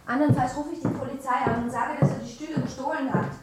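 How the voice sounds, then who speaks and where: An actress playing a gentle but doubting wife in a radio drama, hesitant and questioning. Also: female voice, high voice, fairly even intonation, quick pace, heavy background noise, natural voice. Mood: suspicious.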